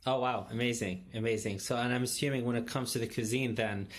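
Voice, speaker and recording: A man's voice: mid-range voice, fairly even intonation, fast. Enthusiastic male podcast host. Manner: enthusiastic, repeats praise. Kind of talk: interviewing.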